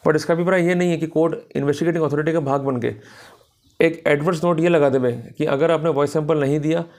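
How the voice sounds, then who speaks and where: Gravelly, higher-pitched Indian man lecturing. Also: fairly even intonation, fast, a little mellow. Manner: didactic, addresses listeners directly. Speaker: law lecturer. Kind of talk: explanatory legal lecture mixing Hindi with English terms.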